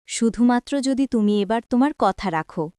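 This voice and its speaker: A woman's voice: on the high side, expressive, moderate pace, mellow, smooth, dry recording, studio quality, lightly acted. A woman voicing a small, clever, cautious crab in a children's moral story. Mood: wry.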